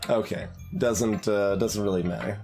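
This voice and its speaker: A man's voice: on the low side, steady tone, moderate pace, a little mellow. A man giving casual, hesitant, rambling live commentary as he plays a game, thinking aloud. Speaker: streamer playing a visual novel. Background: game music.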